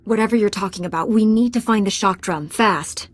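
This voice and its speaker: A woman's voice: mid-range voice, brisk, natural voice. An actress playing a confident young female Jedi knight in a video game, speaking firmly and directly. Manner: firm, direct, assured.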